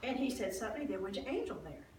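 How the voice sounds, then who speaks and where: Woman acting an excitable devout old priest's wife, echoey. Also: fairly high pitch, lightly acted. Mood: earnest, explaining. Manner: conversational, expressive, halting with restarts and acted-out exclamations. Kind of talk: first-person dramatized Bible monologue, storytelling. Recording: roomy echo, distant mic, faint noise.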